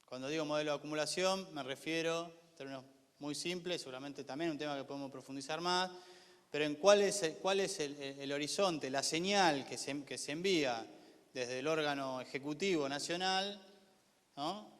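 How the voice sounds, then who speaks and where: A man with a Buenos Aires accent gives an informal class lecture, haltingly, repeating words and posing rhetorical questions to his listeners. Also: fairly high pitch, a bit bright, clean tone. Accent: Argentine, Buenos Aires area. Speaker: lecturer, university official teaching a class. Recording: echoey hall with long reverb.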